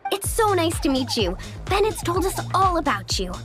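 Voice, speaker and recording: A woman's voice: extremely high pitch, very animated, normal speed, over music. A woman playing a bubbly, friendly young female adventurer in an anime game, chirpy and casual.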